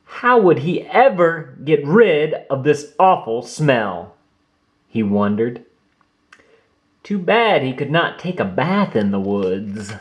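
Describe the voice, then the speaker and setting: Guy reading a kids book out loud, slow n dramatic, pitch going all over the place. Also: lo-fi sound. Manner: expressive, theatrical emphasis with drawn-out phrases. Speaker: narrator reading a children's picture book. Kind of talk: read-aloud storytelling for young children.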